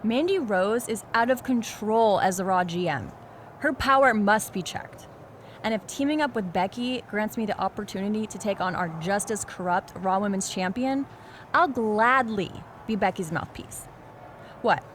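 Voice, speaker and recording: A woman's voice: medium pitch, moderate pace, a little hiss or hum, lightly acted. A woman playing a defiant, righteous wrestler in a video game, delivering a firm, challenging promo. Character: defiant, righteous female wrestler acting as spokeswoman.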